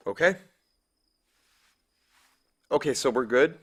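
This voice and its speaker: Earnest, pleading fugitive, mid-pitched. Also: male voice, expressive, takes it slowly, slightly rough. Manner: persuasive, tentative, questioning. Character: earnest, pleading man on the run. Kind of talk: naturalistic audio drama dialogue.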